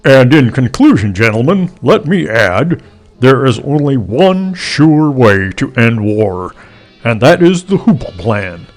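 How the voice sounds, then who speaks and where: A man's voice: medium pitch, big pitch swings, slow, soft background music. A man voicing a pompous, long-winded speechmaker from a 1930s comic strip, in a theatrical, oratorical manner. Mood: grandly declaiming.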